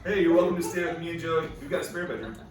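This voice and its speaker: A man's voice: a little high, fairly even intonation, rapid-fire, lightly acted. A man acting a wisecracking, needy amateur comedian and bar owner in a comedic table read. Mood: sheepish. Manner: jokey, defensive banter. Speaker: actor in a live table read. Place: live performance venue. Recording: distant, echoey, noisy live-venue recording.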